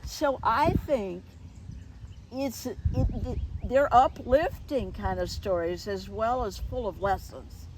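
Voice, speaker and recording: A woman hosting a casual interview, talking informally and somewhat haltingly as she thinks aloud. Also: fairly high pitch, background noise. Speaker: interviewer, host.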